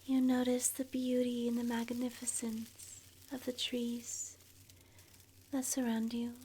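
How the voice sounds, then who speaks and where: A woman softly narrating an ASMR guided meditation in a breathy, whispery voice, gentle and soothing, leaving long pauses. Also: a little high, slight background noise, poor audio.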